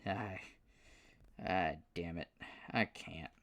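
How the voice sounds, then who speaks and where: A man's voice: low voice, very slow, fairly bright tone, heavily acted. A man voicing an eager, folksy male scientist with a Southern US drawl, pouting when he can't help. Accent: Southern US, put on.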